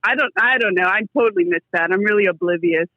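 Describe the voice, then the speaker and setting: A woman's voice: mid-range voice, fast talker, no echo. Woman caller on dull, band-limited audio. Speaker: caller to a comedy podcast. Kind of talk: casual chat.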